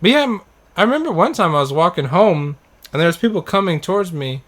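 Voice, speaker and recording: A man's voice: high-pitched, very animated, quick pace, a bit bright. A man co-hosting a podcast in casual, informal chat, telling anecdotes and acting out what people said. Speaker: podcast co-host.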